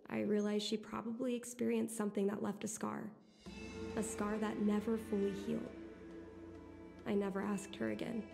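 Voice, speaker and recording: Woman playing a candid young game daughter, creaky edge. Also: medium pitch, a little mellow, lightly acted. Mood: wistful. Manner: casual, frank. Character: candid, breezy young daughter, a little stubborn. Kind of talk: video game dialogue.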